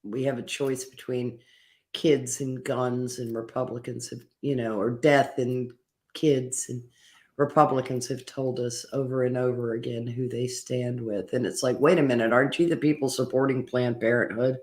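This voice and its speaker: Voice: mid-range voice, steady tone, normal speed, a little mellow. A political talk show panelist chatting casually in a sarcastic, profane way.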